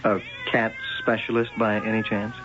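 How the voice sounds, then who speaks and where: An actor playing a probing, laconic police lieutenant in a vintage radio drama, heard on a muffled old broadcast. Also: male voice, fairly low pitch, monotone, moderate pace, background noise, close to an everyday voice. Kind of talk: 1970s radio drama acting.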